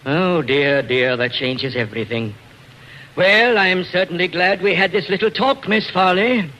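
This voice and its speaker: A fussy, deferential bank official with a sharp, fairly high voice. It is 1940s radio acting, with a faint hiss on the broadcast. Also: male voice, a little slow, low-quality recording. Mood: feigned dismay. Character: fussy, polite male bank man, slyly meddling.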